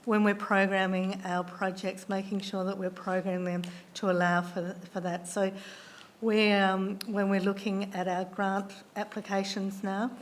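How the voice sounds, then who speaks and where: A woman, a council officer who is losing her voice, answering councillors' questions in a measured way with many ums and restarts. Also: mid-range voice, level tone. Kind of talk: formal meeting answers.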